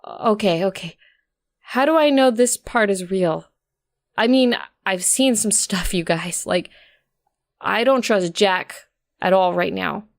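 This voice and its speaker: A woman plays a young storybook mare, shaken but stubborn, telling her tale in a medium-pitched voice with a creaky edge. She is wry and informal, like someone confiding in a friend. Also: expressive, slow pace, no room sound, clean studio sound. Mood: weary, wary. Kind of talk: first-person dramatic story narration.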